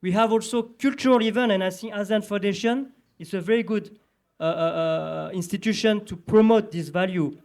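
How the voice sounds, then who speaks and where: A man with a French accent gives a panel talk in a high voice, stopping often to search for words. He speaks as a panelist addressing a room. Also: creaky, a little mellow. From southern France. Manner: hesitant, many filler pauses. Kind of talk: conference panel speech.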